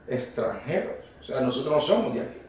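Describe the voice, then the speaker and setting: A man's voice: medium pitch, big pitch swings, brisk, darkish tone, distant mic, slight background noise. A man from Colombia preaching a fervent, emphatic sermon to a congregation that answers back, caught by a room microphone in a church hall. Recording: very echoey hall, voice from afar.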